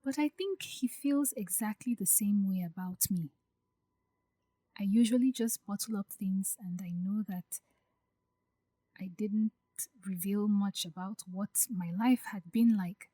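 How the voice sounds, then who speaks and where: A woman playing an anxious, hurt young bride-to-be in an audio drama, speaking softly and hesitantly as she confides her doubts. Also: medium pitch, unhurried, no echo, close to an everyday voice. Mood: vulnerable, reflective. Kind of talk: acted audio drama dialogue.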